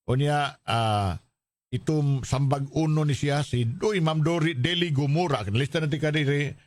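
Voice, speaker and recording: A man's voice: fairly high pitch, moderate pace, no room sound, clean studio sound. A man with a Filipino accent hosting a radio show, chatting casually and reading out listener messages. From Philippines. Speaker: radio drama show host and commentator. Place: radio studio.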